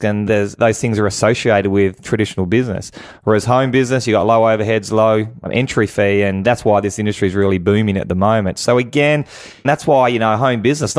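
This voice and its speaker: A man's voice: on the low side, dry recording, clean studio sound. An Australian man talking persuasively and emphatically as a business guest in a conversational radio interview. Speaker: guest, home business entrepreneur.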